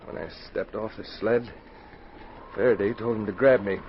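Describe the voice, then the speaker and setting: An actor playing a shaken, remorseful outlaw with a halting, earnest delivery in a 1940s radio adventure. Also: male voice, on the low side, fairly even intonation, close to an everyday voice. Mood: confessional, regretful. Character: shaken, remorseful outlaw, betrayed by his partner. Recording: dull, hissy 1940s radio recording.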